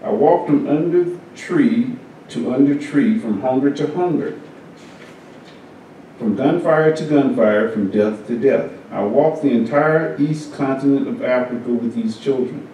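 A man reads a personal story aloud to a congregation at a steady, unhurried pace. His voice is deep and mellow, and he sits well back from the microphone. The hall around him rings with strong echo. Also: a little hiss or hum. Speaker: service reader. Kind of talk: reading a first-person story aloud at a church service. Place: church meeting room. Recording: very echoey hall, mic far off.

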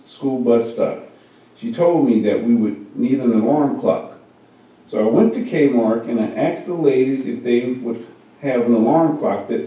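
A man reading a first-person story aloud at a church service, in a measured, unhurried way. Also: mid-range voice, dark tone. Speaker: service reader. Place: church meeting room. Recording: very echoey hall, mic far off.

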